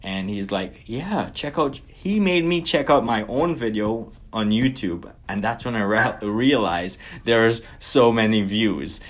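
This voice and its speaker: A man, talking as an interview guest in a somewhat low voice. He tells his story earnestly and grows livelier when he retells events. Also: unhurried, few pauses. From Guyana.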